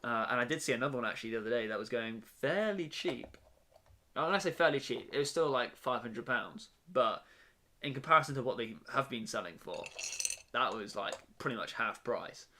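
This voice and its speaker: A man's voice: medium pitch, lively intonation, a little fast, pushed and bright, a little hiss or hum. A young man chatting casually on a live stream from his bedroom while he builds, rambling with lots of fillers and restarts.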